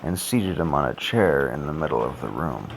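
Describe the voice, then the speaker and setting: A man serves as the narrator of an audio story. His voice is very deep and he delivers scripted scene descriptions over background noise. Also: lively intonation, normal speed, gravelly.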